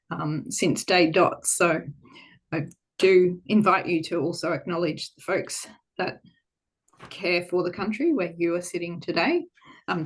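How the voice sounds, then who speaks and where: A woman co-hosting an online webinar over a video call, her voice a little croaky from a head cold, speaking informally with frequent ums. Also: lowish voice, poor audio. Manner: warm, frequent ums, relaxed informal phrasing. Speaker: webinar co-host.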